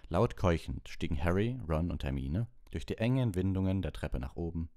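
A man reading an audiobook, telling the story in measured, expressive literary narration. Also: low voice, no room sound, clean studio sound.

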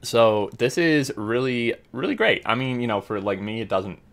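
A man's voice: mid-range voice, normal speed, creaky, fairly bright tone. A man casually reading out and commenting on a game developer blog, upbeat and full of fillers. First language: English. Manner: chatty, filler-heavy, upbeat. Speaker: gaming YouTuber.

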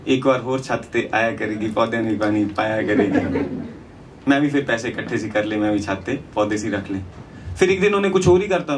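A male stand-up comedian delivers rhymed comic storytelling at a medium pitch. He breaks the verse with chatty asides. The stage recording is noisy and sounds some way from the mic. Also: far from the mic. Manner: conversational asides between recited rhyming verses. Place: small indoor comedy venue on stage. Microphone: handheld microphone.

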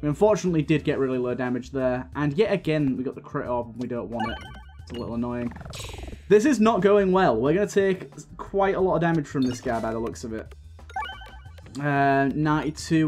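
Slightly raspy man, chatty game commentary. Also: fairly high pitch, a little mellow. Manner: chatty, thinking aloud with many fillers. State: coming down with a cold. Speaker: gaming video host. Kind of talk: casual let's-play commentary. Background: faint game music.

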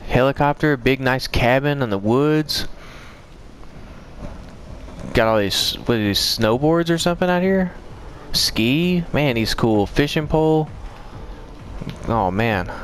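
A man playing an excitable, boastful young cousin who gushes in slang in a game roleplay. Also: medium pitch, takes it slowly, long pauses, slight background noise. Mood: awed. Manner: gushing, slangy.

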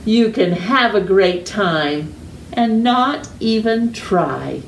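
A middle-aged woman reading a children's picture book aloud with deliberate, exaggerated storytime delivery and drawn-out words. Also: mid-range voice, animated, gravelly. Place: living room at home. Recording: roomy echo, distant mic, faint noise.

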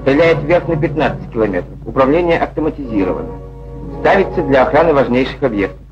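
A man's voice: medium pitch, expressive, quick pace, muffled recording. A man narrating a scripted 1940s Soviet newsreel in a declamatory, wry manner, on an old film soundtrack. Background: music.

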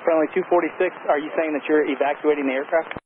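A male air traffic controller with a slightly high voice speaks over a narrowband radio with light noise. His delivery is clipped, composed and procedural, like standard tower phraseology. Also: steady tone, fast talker. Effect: aircraft radio.

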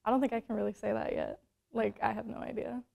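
A woman's voice: fairly high pitch, flat delivery, fast talker, creaky, darkish tone. A young woman student giving unsure, rambling answers in a documentary interview. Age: young adult. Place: studio with plain backdrop.

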